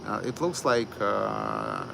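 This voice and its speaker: A man hosting a live stream, talking slowly with many fillers and broken grammar. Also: fairly low pitch, wildly swooping pitch, creaky, noisy background. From United States. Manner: hesitant, many fillers, non-native grammar. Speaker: livestream host.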